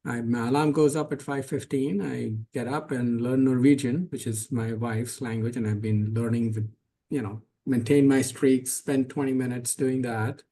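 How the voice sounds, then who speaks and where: A middle-aged man speaks on a webinar panel in a middle pitch. He is earnest and casual, often correcting himself and using many fillers as he talks. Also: fairly even intonation, moderate pace, mellow, a little hiss or hum. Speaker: startup CEO on a panel.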